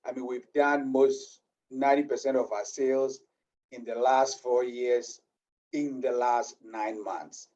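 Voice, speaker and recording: Ghanaian-accented man, earnest interview guest, mellow tone, muffled distant audio. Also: medium pitch, level tone, unhurried. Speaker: business owner guest. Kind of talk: interview answers.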